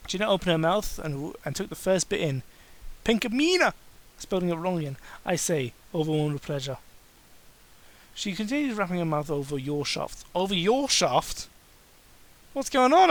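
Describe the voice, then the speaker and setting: A man's voice: high voice, a bit bright. A man doing a mocking dramatic reading, breaking into exasperated, sarcastic, sweary asides. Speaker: amateur reader and commentator.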